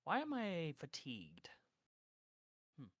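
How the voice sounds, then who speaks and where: A man's voice: a little high, wildly swooping pitch, takes it slowly, a bit bright, slightly rough. A man chatting casually as a player in a tabletop roleplaying game.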